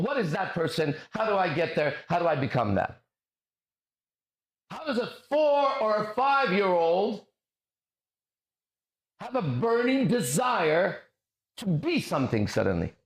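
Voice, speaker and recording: A man giving a lecture to an audience, telling childhood stories in an intense, profane, storytelling manner. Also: on the high side. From grew up abroad, left home country at four. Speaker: motivational speaker. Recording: echoey room.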